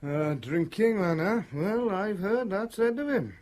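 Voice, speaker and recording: An actor playing a wry, sceptical police sergeant in a radio drama, chatty, colloquial and sardonic. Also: male voice, high voice, expressive, a little slow, darkish tone.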